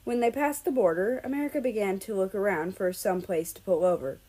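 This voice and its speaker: A woman's voice: medium pitch, a little fast, mellow, poor audio. A woman narrating a story expressively from a script as a reader of fan fiction.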